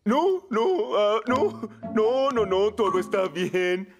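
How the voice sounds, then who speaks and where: A man's voice: high voice, lively intonation, slow pace, music in the background, heavily acted. A man with a Latin American Spanish accent voicing a clumsy, easily flustered young firefighter in a cartoon dub, fretful and stammering.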